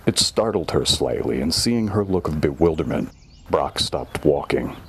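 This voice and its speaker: A man's voice: a bass voice, a little hiss or hum. A man giving scripted narration of a horror audio story.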